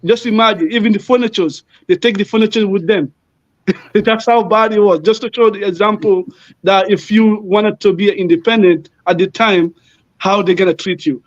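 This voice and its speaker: Brief: man from Guinea, native French speaker, high voice, halting explainer, dull dry lo-fi recording. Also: fairly even intonation, dry recording. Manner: halting, many fillers and restarts. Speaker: panel guest. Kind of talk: casual explanatory talk.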